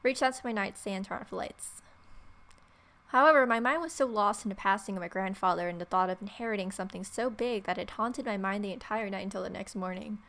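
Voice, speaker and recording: A woman's voice: medium pitch, brisk, fairly bright tone. A woman reading story text aloud as a let's player, with irreverent, sarcastic asides.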